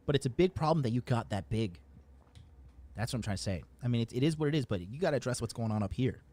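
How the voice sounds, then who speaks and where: A man's voice: mid-range voice, lively intonation, quick pace, dark tone. A man giving casual commentary in a blunt, profane, lecturing way.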